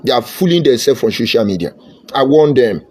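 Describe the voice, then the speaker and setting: A man's voice: mid-range voice, lively intonation, fairly quick, darkish tone. A Nigerian man giving a fervent, combative political monologue, slipping into Pidgin phrases. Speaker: political commentator.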